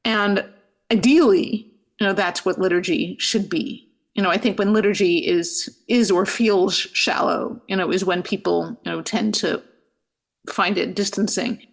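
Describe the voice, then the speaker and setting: A middle-aged woman rabbi, a podcast guest who answers thoughtfully, often pausing with "you know" and restarting her sentences. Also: mid-range voice, fairly even intonation, gravelly. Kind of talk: reflective interview answers.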